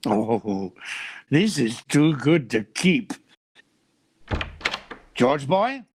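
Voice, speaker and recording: A man's voice: medium pitch, very animated, extremely slow, noisy background. A man acting a nosy, gleeful businessman in an old-time radio comedy. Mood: scheming glee. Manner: prying, exclamatory. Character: nosy, gleeful businessman boss, a gossipy busybody.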